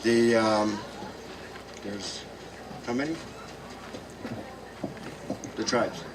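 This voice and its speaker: A man speaking at a gathering in a slow, halting way, with many pauses and fillers. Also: fairly low pitch, steady tone. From South Dakota, United States. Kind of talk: halting public address. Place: outdoor gathering. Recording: distant mic with noise.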